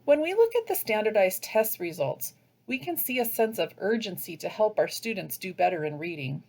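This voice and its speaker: American woman presenting with very lively pitch. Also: creaky. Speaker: teacher trainer, presenter. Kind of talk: scripted instructional presentation narration.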